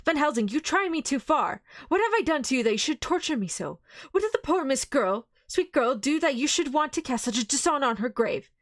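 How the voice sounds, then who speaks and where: A woman's voice: mid-range voice, steady tone, moderate pace, hardly any pauses, no echo, clean studio sound, close to an everyday voice. A woman voicing a grieving, outraged young English nobleman in a read-aloud novel, speaking in passionate, pleading outbursts.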